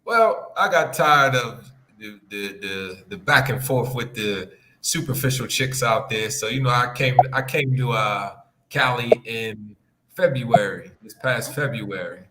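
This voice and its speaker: The matter-of-fact guest who dials into a podcast from afar, a man of average pitch, halting now and then over a noisy line. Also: expressive. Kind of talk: casual conversation. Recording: clicky, noisy remote connection.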